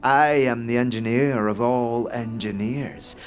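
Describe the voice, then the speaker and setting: Eccentric male inventor, played by a man, fantasy audio drama: slow, cryptic, grand delivery with big swings in pitch, faint music beneath. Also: fairly even intonation, close to an everyday voice. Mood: boastful, intense. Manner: theatrical, grandiose, dramatic pauses.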